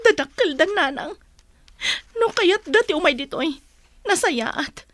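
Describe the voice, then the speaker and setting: An anguished, high-pitched betrayed Ilocano wife. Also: female voice, lively intonation, unhurried, fairly bright tone, dry recording, heavily acted. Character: anguished betrayed young wife pouring out heartbreak. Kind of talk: Ilocano radio drama acting.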